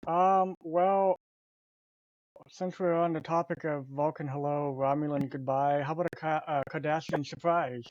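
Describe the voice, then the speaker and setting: A man's voice: on the high side, steady tone, moderate pace, darkish tone, no echo. Man over a dull lo-fi line. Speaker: podcast panelist. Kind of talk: casual chat.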